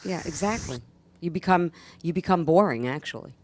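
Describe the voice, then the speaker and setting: A woman from New York, a comedian, talking casually as a guest on a radio show, lively and laughing with quick asides. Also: fairly low pitch.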